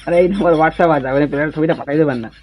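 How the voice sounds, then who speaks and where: A man's voice: on the high side, rapid-fire, darkish tone. A man with a West Bengali accent making a casual prank phone call, teasing and persistently asking questions. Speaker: prank caller. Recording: phone call with faint noise.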